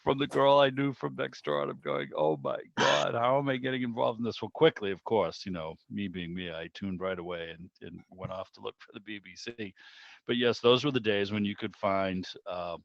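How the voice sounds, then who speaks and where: A middle-aged man hosting a club meeting by video call, chatting casually and telling rambling, animated anecdotes over lo-fi audio. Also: on the low side, normal speed, vocal fry, no echo. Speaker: club meeting host, interviewer.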